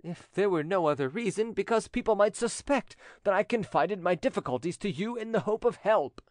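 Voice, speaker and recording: A narrator acting a proud, animated French notary. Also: mid-range voice, brisk, dry recording, clean studio sound, pitched above the performer's own voice, lightly acted. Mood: wounded dignity. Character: proud, dignified, scheming male French notary feigning innocence.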